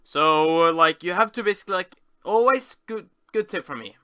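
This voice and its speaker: Bright, clear-voiced man rambling casually. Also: high voice, expressive, dry recording. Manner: rambling, halting, self-correcting. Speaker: video host. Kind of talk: casual screen-tour commentary.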